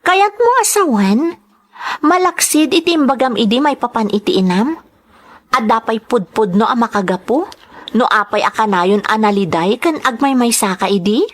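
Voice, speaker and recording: Supportive, devoted young female sweetheart, acted by a woman, clearly high voice, Ilocano accent, emotional drama delivery. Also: lively intonation, normal speed. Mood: startled, worried. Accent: Filipino, Ilocano. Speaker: drama actress. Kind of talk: sentimental radio-style drama acting.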